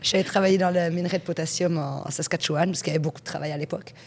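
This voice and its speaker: A woman's voice: lowish voice, rapid-fire, gravelly, slight background noise. A woman in her forties, originally from Switzerland, chatting frankly as an interview guest about her working life. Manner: chatty storyteller, frank and lively. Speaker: guest, hypnotherapist. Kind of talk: conversational interview.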